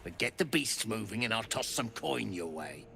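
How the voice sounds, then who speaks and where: An actor playing a gruff, bossy, foul-mouthed dwarf overseer of slaves in a video game, talking in curt earthy slang. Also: male voice, on the low side, big pitch swings, moderate pace, bright, forceful tone, exaggerated voice. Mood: grudging, gruff. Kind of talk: video game character acting.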